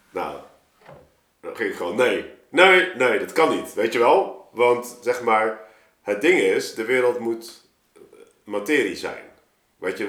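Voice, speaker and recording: Male podcast guest, lower register, casual and discursive, thinks aloud, recorded in a roomy space. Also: a bit bright. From Suriname. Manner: rambling, thinking aloud, frequent 'zeg maar' asides. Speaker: podcast guest, artist and storyteller. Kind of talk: casual podcast talk, explaining his creative process. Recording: roomy, echoing room sound.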